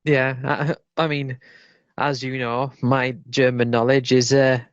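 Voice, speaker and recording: A man's voice: mid-range voice, flat delivery, slow, smooth, no echo. English guy, hesitant questioner, dull dry remote audio. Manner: hesitant, asks questions with restarts. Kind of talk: casual chat.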